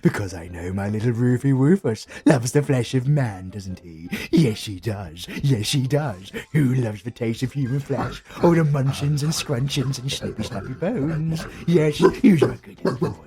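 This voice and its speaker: Gravelly British retired supervillain husband, played by a man. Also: medium pitch, lively intonation, unhurried, few pauses, dark tone. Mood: doting, cooing. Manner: theatrical, teasing, cooing endearments. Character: retired male supervillain husband, smug, doting, playfully flirty. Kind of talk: romantic comedy roleplay audio.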